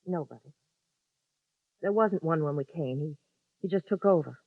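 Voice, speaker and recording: A woman's voice: low voice, moderate pace, no room sound. An actress playing a frightened, lonesome young woman who pleads urgently, in a 1950s radio drama. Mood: confiding. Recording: dull lo-fi 1950s radio recording.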